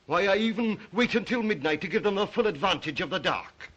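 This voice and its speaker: An actor playing a suave, psychotic aristocratic hunter of men, courteous and menacing in an old 1930s melodrama style. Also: male voice, high voice, lively intonation, fairly quick, a bit bright, highs cut off. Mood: gloating. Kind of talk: 1930s melodrama film acting.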